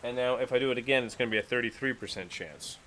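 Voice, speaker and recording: A man's voice: mid-range voice, quick pace, noisy background. A man chatting casually and playfully as a tabletop player, repeating words as he thinks. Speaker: tabletop role-playing player. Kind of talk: casual, playful game chat.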